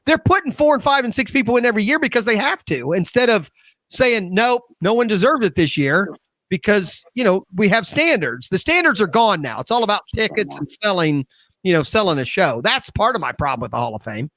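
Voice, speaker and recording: Animated, high-pitched American man in his fifties. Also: a little fast, no echo, low-quality recording. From Midwest United States. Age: 50s. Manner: animated, opinionated. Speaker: talk show host. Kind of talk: casual round-table chat.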